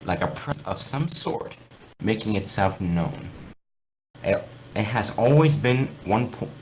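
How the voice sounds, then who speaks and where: A man's voice: lowish voice, expressive, measured pace, a little mellow. A man reading aloud and talking casually in an amateur, sweary way over a game voice chat. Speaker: fan fiction reader. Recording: noisy voice chat recording.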